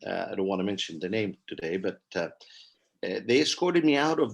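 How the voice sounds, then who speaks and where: Man restaurateur on a panel, lowish voice, loose and halting storytelling. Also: sing-song, vocal fry. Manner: conversational, many uhs and restarts. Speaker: restaurant owner.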